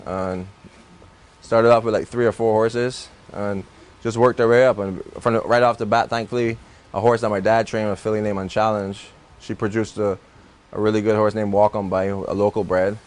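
A man's voice: fairly low pitch, flat delivery, normal speed, a little mellow. A young Barbadian man, a racehorse trainer, chatting loosely in an interview with many restarts and fillers. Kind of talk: casual interview.

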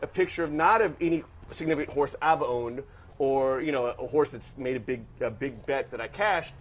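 Brief: man, 50, professor guest, mid-pitch, analytical storytelling, faint ambience behind. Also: normal speed, slight background noise. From Washington, D.C. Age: 50s. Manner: thoughtful, analytical, with many restarts. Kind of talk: casual interview storytelling.

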